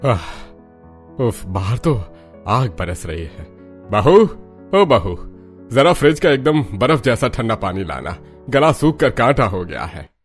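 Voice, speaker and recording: A man's voice: medium pitch, sing-song, slow, faint music behind. A man voicing a demanding middle-aged father-in-law in a cartoon story, tired and grumbling. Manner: grumbling, commanding. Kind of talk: Hindi cartoon story voice acting.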